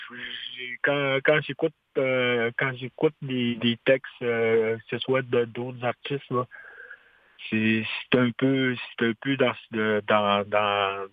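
A man, a singer-songwriter guest on a radio interview, talking haltingly with many restarts and fillers. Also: mid-range voice, no room sound. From Quebec. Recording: tinny phone line with faint hiss.

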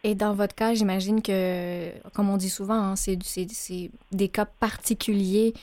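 A woman hosting a radio interview show, speaking gently with many hesitations. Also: medium pitch, fairly even intonation, measured pace, dry recording.

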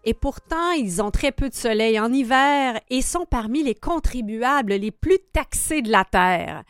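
A woman's voice: fairly high pitch, lively intonation, unhurried, clear, resonant tone, no echo, clean studio sound. A woman radio host, interviewing a guest with frequent hesitant fillers.

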